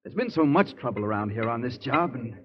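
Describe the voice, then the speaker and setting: An actor playing a weary, sincere, guilt-ridden tunnel contractor in 1930s radio style. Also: male voice, medium pitch, sing-song, a little fast, natural voice. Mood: distraught, defeated. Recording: muffled 1930s radio, crowd noise behind.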